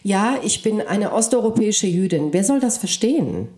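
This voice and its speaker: A woman's voice: mid-range voice, animated, moderate pace, dark tone. Thoughtful woman in an echoey room. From Germany. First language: Polish. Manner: thoughtful, searching, many hesitations. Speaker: interview guest. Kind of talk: reflective conversation.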